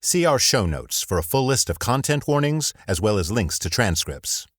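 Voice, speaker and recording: A man reading scripted audio drama narration in a measured, descriptive way. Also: medium pitch, big pitch swings, a little hiss or hum. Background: music.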